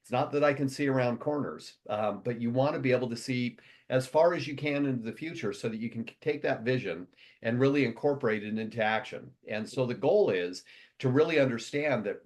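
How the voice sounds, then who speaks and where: A man from the United States gives a motivational talk to his company's members. He talks quickly and rambles, restarting sentences and reeling off long lists. His pitch sits in the middle range for a man. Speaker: company CEO addressing members.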